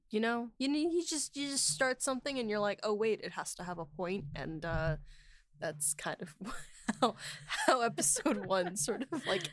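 Female podcast host, medium pitch, casual off-the-cuff conversation, loose hesitant phrasing. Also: a bit bright, no echo. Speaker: podcast host and series writer. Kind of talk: casual unscripted podcast chat.

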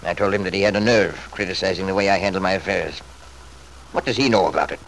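A man's voice: low voice, fast talker, creaky, a bit bright. An actor playing a stubborn, selfish middle-aged father in an old-time radio soap, blustering and self-justifying in an emphatic way. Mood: irritated, grumbling. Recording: noisy vintage radio drama recording.

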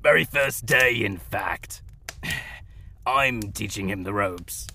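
Gravelly, fawning werewolf henchman. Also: fairly low pitch, lively intonation, slow pace, sharp tone, slight background noise, exaggerated voice. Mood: nervous placating. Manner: obsequious, placating. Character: fawning male werewolf henchman, nervous and smooth-talking. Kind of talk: fantasy comedy audio drama acting.

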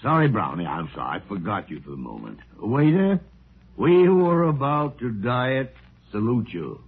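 An actor playing a crusty, jocular older doctor with a teasing, mock-grand manner in 1940s radio style. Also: male voice, mid-range voice, sing-song, moderate pace, lightly acted. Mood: mock-solemn. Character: crusty, sly, jocular old male doctor. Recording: tinny phone-like vintage radio.

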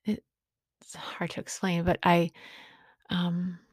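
A woman in her late forties giving a reflective personal monologue as a podcast host, speaking haltingly in short phrases with a breathy, husky voice. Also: on the low side, monotone, no echo, clean studio sound. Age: late 40s.

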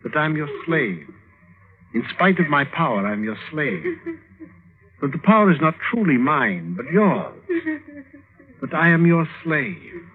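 An actor playing a ruthless, ambitious young warlord prince in a commanding, deliberate radio-drama style. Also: male voice, on the high side, sing-song. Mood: brooding, bitter. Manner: commanding, deliberate, stagy delivery. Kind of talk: 1950s radio drama acting. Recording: tinny, noisy old radio recording.

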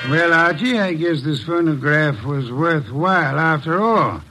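An actor playing a shrewd, imperious gentleman detective, formal and deliberate, in an old-time radio drama. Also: fairly high pitch, background noise, natural voice. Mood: satisfied, commanding.

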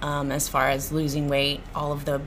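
A woman yoga teacher interviewed as a podcast guest, with a loud, projecting voice, talking with many hesitant ums. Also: low voice, completely flat delivery, moderate pace, a little hiss or hum.

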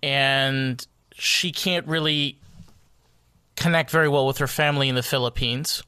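Man with a bright, forward voice, reflective casual talk, halting phrasing, dry sound. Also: mid-range voice, fairly even intonation, clean tone, dry recording. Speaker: conversation participant.

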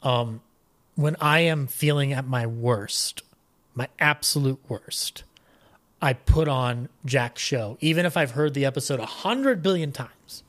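A man's voice: mid-range voice, unhurried, fairly bright tone. A man talking casually as a podcast guest, rambling along with a fan's enthusiasm. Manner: rambling, enthusiastic fan talk. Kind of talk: casual interview chat.

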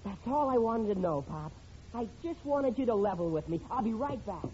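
Lively, stubborn teenage son. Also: male voice, high voice, normal speed, slight background noise. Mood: earnest. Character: earnest, stubborn adolescent son. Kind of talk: 1940s radio sitcom acting. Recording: muffled vintage radio recording, crowd sound.

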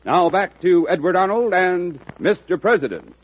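A man's voice: sits high, normal speed, loud music behind the voice. A man reading a formal, earnest charity appeal as a radio announcer. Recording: tinny old radio broadcast.

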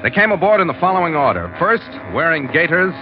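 An actor playing a terse, seasoned American ship's master, narrating and acting in a hardboiled 1940s radio adventure style. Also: male voice, fairly high pitch, sing-song, fast talker. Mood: brooding, matter-of-fact. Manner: terse, wry, matter-of-fact. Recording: muffled vintage radio, music underneath.

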